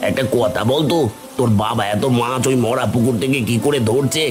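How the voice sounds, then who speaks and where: A man's voice: medium pitch, fairly quick, over loud music, close to an everyday voice. A man voicing a nosy, gossiping village henchman in a cartoon folk tale, with a theatrical, pestering manner. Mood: demanding. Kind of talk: animated Bengali folk-tale cartoon dialogue.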